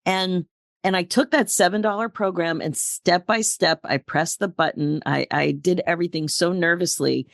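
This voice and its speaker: A woman's voice: fairly low pitch, normal speed, gravelly, dry recording, studio quality. A middle-aged woman hosting an interview podcast in an effusive, encouraging way. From New England, United States. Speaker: podcast host and life coach.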